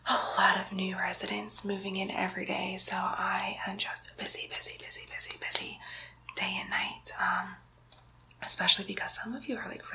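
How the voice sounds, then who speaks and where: A woman's voice: mid-range voice, sharp tone, low-quality recording. A woman playing a chatty, cheeky demon nurse in a whispered ASMR roleplay, coaxing and playfully condescending. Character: chatty, cheeky female demon nurse greeting souls in hell. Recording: faint background noise, close whispered recording.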